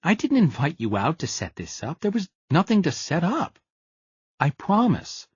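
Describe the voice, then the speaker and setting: A man's voice: fairly high pitch, wildly swooping pitch, normal speed, darkish tone, husky, dry recording, muffled recording, pitched above the performer's own voice, full character voice. A male narrator voicing a jittery, fidgety man who keeps pleading to be trusted. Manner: pleading, insistent.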